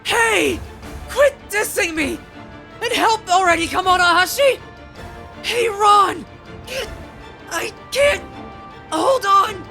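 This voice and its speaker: Woman playing a very high-pitched earnest young officer. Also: expressive, slow pace, vocal fry, pauses often, pushed and bright, full character voice. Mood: strained, exasperated. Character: earnest, excitable young police officer, righteous and exasperated. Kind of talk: anime fan dub acting. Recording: voice over background music.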